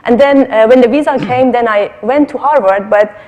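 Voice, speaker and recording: A woman's voice: fairly high pitch, normal speed, a little mellow, dull audio. A woman from Iran, a researcher on a panel, telling a personal story conversationally and often checking in with "right?". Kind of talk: personal anecdote in panel discussion. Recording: long hall reverb.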